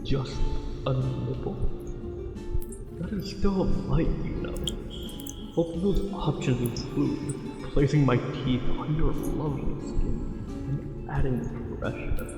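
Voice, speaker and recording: A man voicing a tender, possessive merman for an ASMR romance roleplay, speaking formally and haltingly with a breathy delivery. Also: medium pitch. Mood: gently reluctant. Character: lovesick possessive angler merman, courtly and tender. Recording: very echoey, long reverb, music behind.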